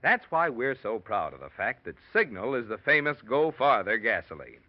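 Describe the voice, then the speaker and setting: A male radio announcer delivers a folksy sales pitch with a lively, swinging pitch. The recording is dull and lo-fi, like an old broadcast. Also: moderate pace. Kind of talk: radio commercial read.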